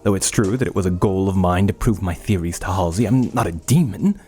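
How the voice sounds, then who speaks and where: A man's voice: low voice, animated, fairly quick, dark tone, breathy edge, faint music behind. A man playing an arrogant, obsessive young medical researcher in an audio drama, speaking in a pompous, formal way. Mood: brooding.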